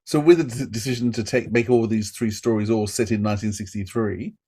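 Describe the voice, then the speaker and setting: Male podcast host, lower-pitched voice, casual fan discussion and interview style. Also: steady tone, brisk, mellow, slightly rough.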